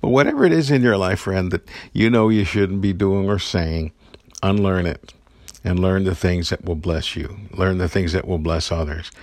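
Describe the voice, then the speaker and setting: A man's voice: deep voice, moderate pace, darkish tone, no room sound. A man hosting an inspirational podcast, speaking earnestly and encouragingly and telling personal stories with the odd chuckle. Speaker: podcast host giving daily encouragement. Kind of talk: inspirational devotional talk.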